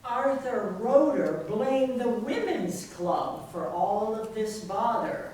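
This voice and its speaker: A woman's voice: a little high, sing-song, takes it slowly, darkish tone. A woman narrating a scripted readers theater piece. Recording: very echoey hall, far mic, faint noise.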